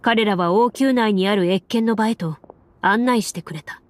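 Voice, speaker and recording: A woman narrating a story as a game master in a measured, formal manner, like scripted game voice acting. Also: medium pitch. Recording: soft music under the voice. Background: background music.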